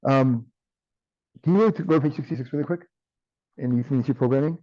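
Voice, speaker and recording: A man teaching an online class with a tired, strained voice, often checking in with "right?" and filling pauses with um. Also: medium pitch, dry recording, highs cut off. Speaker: instructor.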